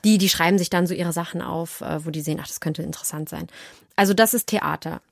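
A young woman, an actress, talking as an interview guest in a casual, animated and cheeky storytelling way. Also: on the low side, fast, creaky, no echo, clean studio sound.

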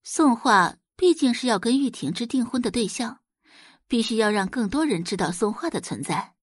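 Expressive, lively woman audiobook narrator. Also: on the high side, normal speed, husky, no echo, studio quality. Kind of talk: scripted dramatic narration.

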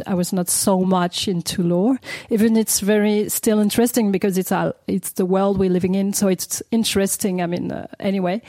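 A woman, answering interview questions in a casual, hesitant way, restarting sentences and laughing softly between phrases. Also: medium pitch, no echo. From France (Paris). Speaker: guest, DJ and producer.

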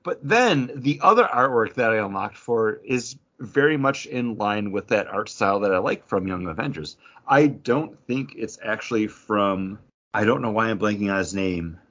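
A man's voice: fairly low pitch, expressive, moderate pace, fairly bright tone. A man hosting a podcast, chatting casually and enthusiastically about a hobby. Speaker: podcast host. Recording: dull lo-fi audio.

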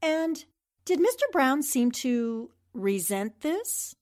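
A woman playing a sharp, persistent but polite newspaper reporter in an old-time radio drama, articulate and questioning. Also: high voice, big pitch swings, slow, exaggerated voice. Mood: probing.

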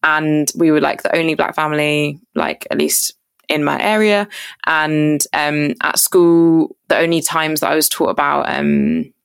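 A woman's voice: deep voice, expressive, measured pace, creaky, smooth, dry recording, studio quality. A woman in her twenties with a southern English accent, talking in an earnest, rambling, casual way as an interview guest. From Surrey, England (born in Jamaica). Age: 20s. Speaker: podcast guest, activist and writer. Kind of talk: casual reflective interview talk.

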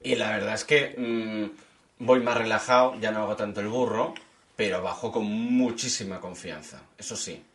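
A man's voice: lowish voice, moderate pace, fairly bright tone. A man with a Catalan accent co-hosting a podcast, chatting jokily and slipping into Catalan. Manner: jokey, slips into Catalan. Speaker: podcast co-host. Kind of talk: casual banter.

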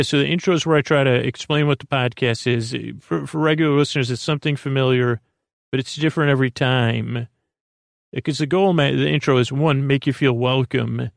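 Creaky-voiced man, rambling soothingly. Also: medium pitch, no room sound, studio quality. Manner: halting, meandering, self-deprecating; lulling, soothing. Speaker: podcast host. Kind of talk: rambling bedtime podcast monologue.

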